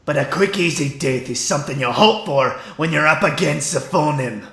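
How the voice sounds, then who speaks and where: Creaky, sardonic fantasy soldier played by a man. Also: a little high, normal speed, bright, forceful tone. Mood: grim. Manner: blunt, sarcastic. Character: gruff, sardonic human vampire-hunting soldier. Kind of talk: fantasy audio drama acting. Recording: echoey, slightly noisy.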